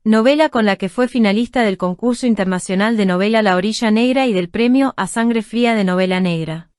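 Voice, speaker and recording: Woman radio host, dry clear read. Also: mid-range voice, fairly quick, a little mellow, no room sound. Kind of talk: reading introduction and interview questions.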